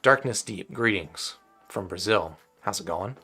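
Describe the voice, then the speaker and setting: Low-voiced man chatting casually on a live stream. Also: animated, measured pace, creaky, pushed and bright, breathy edge, faint music behind. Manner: rambling and conversational, with frequent restarts. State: voice getting dry and tired. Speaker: audio gear reviewer hosting a live stream. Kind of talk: casual live-stream chat answering viewers.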